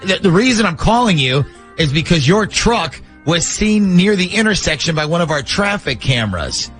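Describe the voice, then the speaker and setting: Higher-pitched male morning radio host, music behind. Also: big pitch swings, normal speed, fairly bright tone. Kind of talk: morning radio prank call segment.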